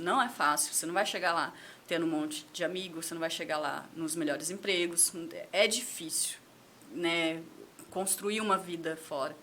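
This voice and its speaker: A woman's voice: on the low side, bright, forceful tone, slight background noise. A Brazilian woman in her thirties, a guest on a podcast, chatting casually and repeating words as she thinks. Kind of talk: casual chat.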